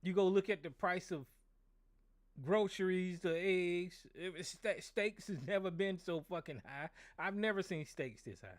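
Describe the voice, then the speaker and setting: A middle-aged American man with a hoarse, somewhat high voice rants with anger and plenty of profanity. He hosts a call-in talk show and keeps circling back over the same points, stumbling on his words. The sound is close and dry. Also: measured pace, no echo. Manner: angry, profane, repetitive. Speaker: show host. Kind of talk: political rant on a call-in show.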